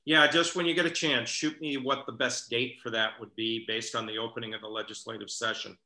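A man's voice: medium pitch, steady tone, a little fast, bright, forceful tone. An older American man hosting a casual panel chat over a video call. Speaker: show host. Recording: echoey room on video call.

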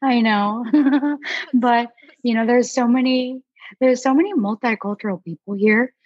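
A young woman from Alaska, an artist chatting as a guest in a relaxed, rambling way with many fillers. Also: on the high side, a little slow, no room sound, highs cut off. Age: young adult. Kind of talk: casual interview chat.

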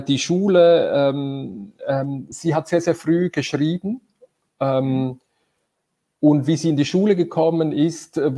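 A man with a mellow, darker tone hosts a casual webcam conversation. He talks in a loose way, with plenty of fillers and pauses while he searches for words. He sounds like he is in a room at home. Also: on the high side. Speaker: host. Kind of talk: conversational video call. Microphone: video call webcam.

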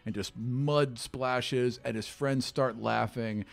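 A man's voice: mid-range voice, steady tone, slow pace, creaky. A man in his thirties narrating as game master in an easygoing way, on a studio mic. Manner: easygoing, lots of fillers. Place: studio set with a desk. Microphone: studio mic on a stand.

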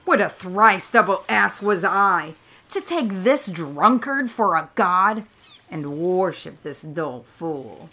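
A woman's voice: on the low side, sing-song, slow, sharp tone, full character voice. A woman playing a surly, misshapen island monster and servant in a Shakespearean radio play, emphatic and self-reproaching. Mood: rueful, scornful.

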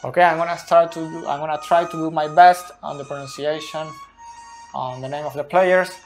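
A man in his thirties, speaking English as a second language, slowly explains football tactics at a whiteboard in a small room, often checking in with "okay?", recorded close on a phone. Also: on the high side, fairly even intonation, soft background music. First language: not English. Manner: slow, deliberate, frequent "okay?" checks. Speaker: football analyst. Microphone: phone camera close up.

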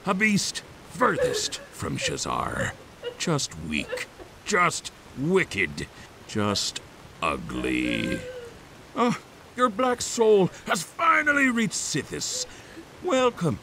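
An actor playing a mocking, theatrical mad god in a fantasy video game. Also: male voice, mid-range voice, huge pitch swings, drawn-out words, background noise, heavily acted. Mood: gleeful welcome. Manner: theatrical, swooping between taunts and shouts.

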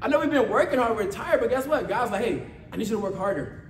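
A man's voice: high voice, lively intonation, fast talker. An American man preaching an informal motivational sermon as a church leader, exhorting conversationally, repeating phrases and checking for agreement. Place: church meeting room with responding congregation. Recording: echoey room from far off, faint noise.

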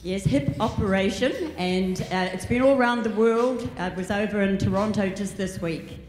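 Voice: mid-range voice, normal speed, a little mellow. Matter-of-fact older New voice, echoey hall. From Waiheke Island, New Zealand. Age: 80s. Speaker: dance crew member. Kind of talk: casual answers in an on-stage interview. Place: large auditorium stage.

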